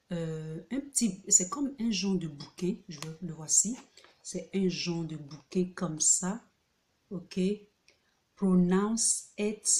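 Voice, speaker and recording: A woman hosting a casual video tutorial, talking hesitantly with many filler pauses and restarts, often checking in with the listener. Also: on the low side, a little mellow. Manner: repeats key points, checks in with "ok?". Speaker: video host presenting a pronunciation book. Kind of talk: casual spoken tutorial to camera.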